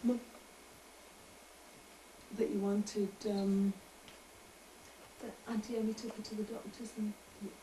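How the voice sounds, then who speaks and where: A woman's voice: medium pitch, flat delivery, slow pace, pauses often, mellow, reverberant, a little hiss or hum, rough recording. A woman interviewing an older relative, prompting and coaxing her with questions in a casual family chat.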